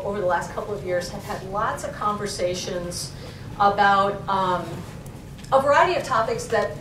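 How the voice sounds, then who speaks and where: A woman English professor talks through her subject informally and corrects herself as she goes. She is picked up from some distance in an echoey library room. Steady noise and a low rumble sit behind her voice. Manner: informal, thinking aloud with frequent self-corrections. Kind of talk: conversational lecture. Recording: roomy, distant and noisy, with rumble.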